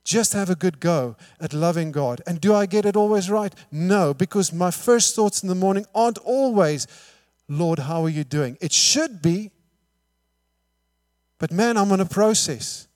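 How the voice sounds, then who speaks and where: A man's voice: sits high, expressive, measured pace, no room sound, studio quality. Emphatic preacher man. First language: Afrikaans. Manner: emphatic, rhetorical questions, swings from hushed to loud. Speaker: pastor. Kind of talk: sermon.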